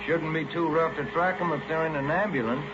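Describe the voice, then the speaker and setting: A man's voice: on the high side, steady tone, a little fast, close to an everyday voice. An American man playing a loyal, plainspoken police detective partner in a 1940s radio drama. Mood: easy, dutiful. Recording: echoey, noisy, dull old radio recording.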